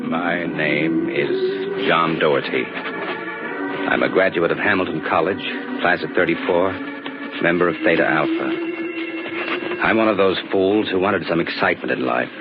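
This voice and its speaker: Low-voiced, wisecracking American private eye. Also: male voice, a little slow. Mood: grim, wry. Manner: hardboiled, slangy, wry. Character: wisecracking, tough young male private detective. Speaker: radio actor playing the narrator and lead. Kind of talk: 1940s-style American radio detective drama acting. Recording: dull old radio recording with music.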